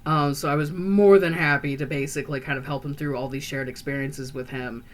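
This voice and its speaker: A woman's voice: low-pitched, fairly even intonation, fairly quick, natural voice. A woman playing a chatty, earnest young female vampire who talks in a rambling, hesitant way full of fillers and restarts. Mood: musing. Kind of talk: in-character roleplay monologue.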